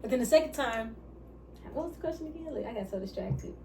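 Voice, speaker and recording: A woman's voice: a little high, animated, fast talker, warm tone. Light-voiced woman, rambling from off mic. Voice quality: light, somewhat feminine voice. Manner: rambling, loses her train of thought. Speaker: vlogger answering couple questions. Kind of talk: casual mukbang chat. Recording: distant mic, faint hiss.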